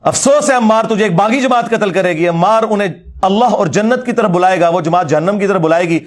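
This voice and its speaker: A man's voice: high voice, fast. A middle-aged man with a Pakistani Punjabi accent giving an informal, polemical religious lecture in a combative, mocking, emphatic way.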